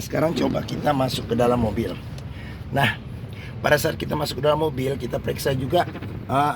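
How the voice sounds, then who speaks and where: A hoarse man hosting a used-car walkaround review, talking slowly with many fillers as he moves around and inside a car at a showroom. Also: mid-range voice, noisy background. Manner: slow, halting delivery with many fillers. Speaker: used-car dealer host.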